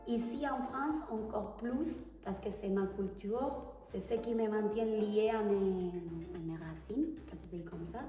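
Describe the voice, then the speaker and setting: Argentine tango teacher as interview guest, Spanish-accented and halting, heard distantly in a roomy space with faint music under her. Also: mid-range voice, mellow. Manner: hesitant, pauses to search for French words. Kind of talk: casual interview answers. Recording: echoey hall, far from the mic.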